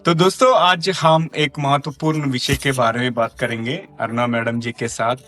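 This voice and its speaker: A man's voice: mid-range voice, level tone, moderate pace, a bit bright, faint music behind. A man hosting an interview podcast, effusive and respectful, addressing his guest formally. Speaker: podcast host.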